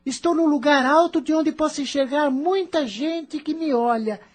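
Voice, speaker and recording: A woman's voice: high voice, moderate pace, darkish tone, lightly acted. A woman playing a rebellious, selfish teenage boy, an irritable dreamer with a curt, complaining way, in an audio drama. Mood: dreamy, explaining.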